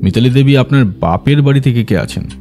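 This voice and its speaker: An actor playing a composed, courteous male detective, asking measured, probing questions in an audio drama. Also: medium pitch, animated, warm tone, soft background music, close to an everyday voice. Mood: businesslike. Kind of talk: scripted audio drama acting.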